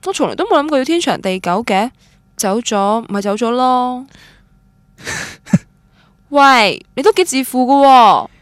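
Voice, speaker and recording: A woman's voice: on the high side, lively intonation, measured pace, long pauses, dry recording. An actress with a Cantonese accent playing a romantic, stubborn young woman who tells her love story in a radio drama. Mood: cocky. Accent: Cantonese, Guangdong. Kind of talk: radio drama narration and dialogue, introspective first-person.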